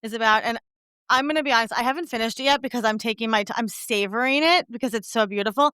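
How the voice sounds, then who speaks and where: A woman's voice: fairly high pitch, quick pace, sharp tone, clean tone, no room sound, studio quality. A middle-aged woman hosting a podcast, interviewing in an effusive, informal, conversational way. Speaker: podcast host.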